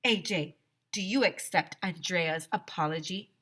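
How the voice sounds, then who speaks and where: A woman's voice: on the low side, a little slow, a bit bright. A woman voicing an easygoing male school principal in a children's storybook, calling out to kids with authority. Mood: coaxing.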